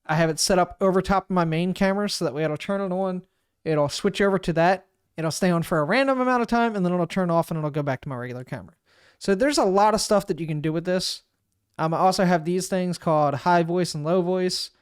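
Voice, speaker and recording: A man with a smooth, clean voice sitting a little high for a man talks through a software setup step by step. He thinks aloud as he clicks, in an easygoing tutorial manner, and the sound is close and dry with no room around it. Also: fast talker, dry recording. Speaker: streamer giving a software tutorial. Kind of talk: casual screen-recorded walkthrough. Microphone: desk microphone.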